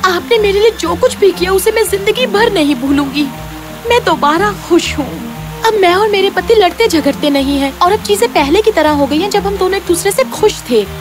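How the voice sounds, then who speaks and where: A woman voicing an emotional young village wife in a storybook tale, earnest and expressive. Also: very high voice, normal speed, music in the background, pitched above the performer's own voice. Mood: grateful, happy.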